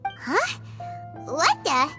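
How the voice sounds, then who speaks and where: A woman voicing a tiny, childlike female fairy companion in a video game, excitable, naive and blunt, with squeaky exclamations. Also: extremely slow, over loud music, full character voice. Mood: alarmed, indignant.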